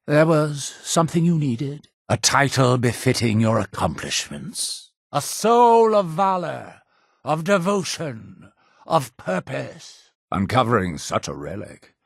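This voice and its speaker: A zealous male cult leader for a video game. His delivery swings widely in pitch, grand and deliberate like preaching to the faithful. The voice is solemn and fanatical, recorded dry and close. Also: gravelly, no echo, full character voice. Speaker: game character voice actor. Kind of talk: theatrical video game dialogue.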